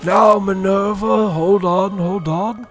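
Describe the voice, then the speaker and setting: A man's voice: high voice, steady tone, slow pace, faint music behind. A man voicing a forgetful, pompous old wizard headmaster in a comic audio story. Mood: grandly earnest.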